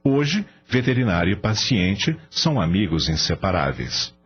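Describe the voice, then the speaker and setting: A man narrating a radio drama in a measured, expressive storytelling style. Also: sits low. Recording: dull lo-fi audio with music behind.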